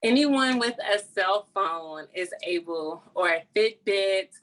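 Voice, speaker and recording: A woman's voice: mid-range voice, lively intonation, slow, fairly bright tone, poor audio. A woman speaking earnestly as a nonprofit founder, explaining her work as an interview guest.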